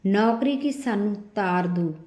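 Woman acting as old village mother, rustic scolding, fretful, mellow tone, roomy echo, audio story. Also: medium pitch, lively intonation, measured pace, close to an everyday voice. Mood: bitter.

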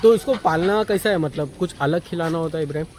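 A man's voice: fairly high pitch, fast talker, darkish tone, slight background noise. A man hosting a casual interview on an outdoor farm, friendly and repeating the answers back. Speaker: interviewer and channel host.

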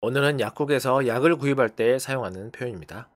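A teacher giving a language lesson, briskly prompting numbered phrases in turn. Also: mid-range voice, no room sound, studio quality. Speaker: English teacher.

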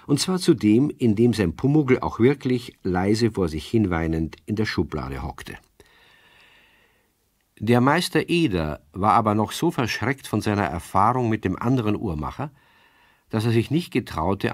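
Deepish, expressive male storyteller, close and dry. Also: moderate pace, dry recording. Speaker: narrator. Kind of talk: scripted children's story narration.

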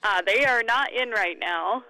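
A woman's voice: mid-range voice, unhurried, bright, forceful tone, no echo, poor audio. A woman working as a store employee, amused and polite in casual customer-service talk on a call. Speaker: mattress store employee taking a phone call.

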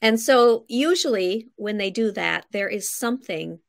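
A woman's voice: medium pitch, lively intonation, unhurried, vocal fry, no echo, studio quality. A middle-aged woman explaining things conversationally in an interview over a video call, in an encouraging, instructive way. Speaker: guest writing coach. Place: home room, indoors, on a video call.

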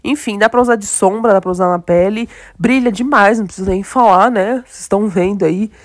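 A woman's voice: mid-range voice, a little fast, no room sound. Brazilian girl w a stuffy nasal voice from a cold, gushing about makeup to her viewers, dry sound. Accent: Brazilian Portuguese. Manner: chatty, enthusiastic, addresses viewers directly. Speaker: beauty vlogger. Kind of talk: casual makeup tutorial chat.

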